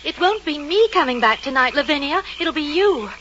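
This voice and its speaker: A woman's voice: sits high, expressive, brisk, a bit bright. An actress playing a timid, fretful, ladylike small-town woman in her thirties in an old radio drama. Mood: anxious. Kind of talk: 1950s radio drama acting. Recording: dull, faintly noisy old radio recording.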